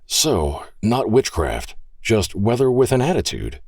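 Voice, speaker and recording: A man's voice: lowish voice, big pitch swings, unhurried, a little mellow, no room sound, voice pushed up, heavily acted. A man voicing a joking, wisecracking man who plays the fun uncle of a group. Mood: wry. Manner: wisecracking, dry humor.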